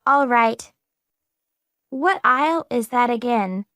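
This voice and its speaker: Woman reading a high-voiced friendly speaker, slow careful delivery, dry close mic, beginner English lesson. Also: animated, bright, forceful tone, clear, resonant tone, dry recording, heavily acted. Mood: plain. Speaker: dialogue partner in English practice. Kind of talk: slow scripted practice dialogue.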